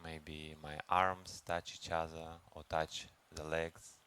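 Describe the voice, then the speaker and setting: A man leads a guided meditation as a teacher, speaking gently and unhurriedly with long pauses. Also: a bass voice, level tone, smooth. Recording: noisy recording with ambient background sound.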